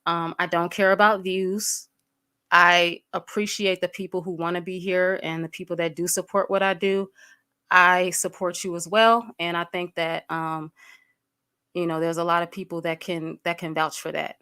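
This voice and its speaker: A woman's voice: fairly low pitch, level tone, normal speed, sharp tone, smooth. A woman in her thirties hosting a casual livestream, blunt, fired up and profane, with lots of fillers, speaking straight to her chat and greeting viewers by name. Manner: greets viewers by name and addresses them directly. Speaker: livestream host.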